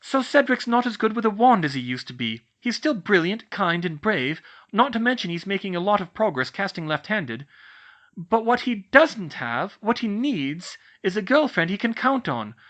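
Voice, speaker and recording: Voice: mid-range voice, very animated, moderate pace, a bit bright, highs cut off, voice pushed up. A narrator voicing a clever, bossy teenage witch girl who speaks in an earnest, insistent, scolding way. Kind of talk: fan fiction audiobook reading.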